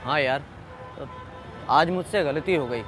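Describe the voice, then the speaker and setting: A man's voice: on the high side, a little slow, a bit bright, faint music behind. An actor playing a sheepish, careless male petrol pump attendant in a training film, talking casually and apologetically, as if making excuses. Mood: apologetic, eager. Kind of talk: training film dialogue acting.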